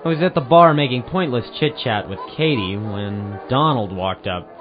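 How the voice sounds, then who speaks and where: A hard-boiled private eye, acted by a man, wry and sardonic, narrates and spars in old-time radio style. His pitch moves around in a lively way, over music in a slightly dull recording. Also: moderate pace, natural voice. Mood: narrating dryly. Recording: muffled amateur radio-drama recording with music.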